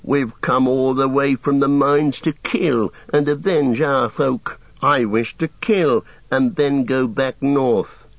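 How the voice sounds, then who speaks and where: A man's voice: medium pitch, fairly even intonation, slow, few pauses, voice pushed up. A man voicing a quarrelsome, bloodthirsty small orc, whining and complaining in a storybook reading. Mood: vengeful. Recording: roomy, dull lo-fi sound.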